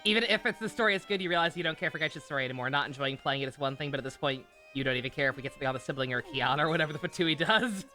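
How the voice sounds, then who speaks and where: Bright-voiced, chatty woman streamer. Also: sits low, very fast, few pauses, faint music behind. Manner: laid-back, wry, chatty. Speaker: game streamer. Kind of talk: casual livestream chat and commentary.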